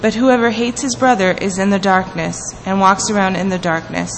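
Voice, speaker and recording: A woman's voice: mid-range voice, steady tone, moderate pace. A woman earnestly reading scripture and leading a prayer in a church. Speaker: scripture reader and prayer leader. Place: church sanctuary. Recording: church hall reverb with faint room noise.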